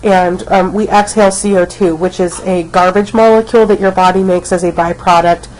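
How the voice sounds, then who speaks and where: A woman's voice: fairly low pitch, steady tone, brisk, darkish tone, poor audio. A woman teacher recording a casual biology lecture, snuffly from a cold, with many ums as she talks. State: has a cold, snuffly and coughing. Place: at home, not at school.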